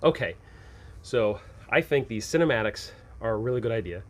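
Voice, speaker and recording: A man's voice: mid-range voice, moderate pace. A man hosting a tabletop game advice show, talking casually and with enthusiasm, slipping into improvised scene descriptions in colloquial, folksy phrasing. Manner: enthusiastic, animated when acting out examples.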